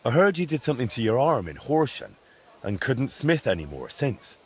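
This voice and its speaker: A young blacksmith in a game drama. His voice is slightly rough and very varied in pitch. He comes across as earnest, plain-spoken and eager to help. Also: sing-song, moderate pace. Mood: curious, earnest. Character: helpful, inquisitive young male blacksmith, earnest and friendly.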